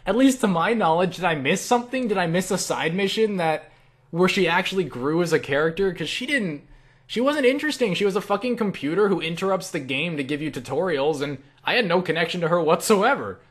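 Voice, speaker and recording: A young man with a somewhat high voice talks in a loose, rambling way, like a creator answering questions for his viewers. He is blunt and opinionated, swears often, and stumbles over repeated starts as his exasperation shows. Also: lively intonation. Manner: profane, exasperated ranting, halting and repetitive. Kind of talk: casual, rambling opinionated chat.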